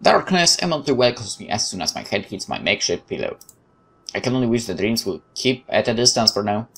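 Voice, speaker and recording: A man hosting a let's play, reading narration aloud in a measured, dramatic way with casual commentary. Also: on the low side, big pitch swings, a bit bright, husky.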